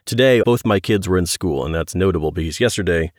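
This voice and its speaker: Male podcast host, middle-aged. Low-pitched, dry close-mic sound, conversational interviewer. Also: quick pace, no room sound. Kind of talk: casual interview chat.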